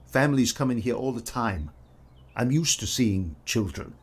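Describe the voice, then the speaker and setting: An actor playing a kindly, modest portrait photographer in a period audio drama, polite, reassuring and slightly hesitant. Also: male voice, medium pitch, lively intonation, moderate pace, slightly rough.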